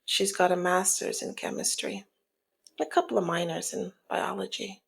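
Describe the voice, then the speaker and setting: A woman playing a teasing, dominant mob boss in an ASMR roleplay, with a breathy, husky voice that often slips into a whisper and a slyly menacing, sultry manner. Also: medium pitch, fairly even intonation, normal speed. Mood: sly, businesslike. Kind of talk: ASMR roleplay audio drama.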